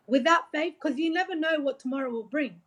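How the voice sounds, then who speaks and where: A woman's voice: high-pitched, a little fast, a bit bright. A woman from Iraq speaking as a motivational coach in an informal interview, earnest, rambling and encouraging, often saying like and you know. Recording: dull, lo-fi audio.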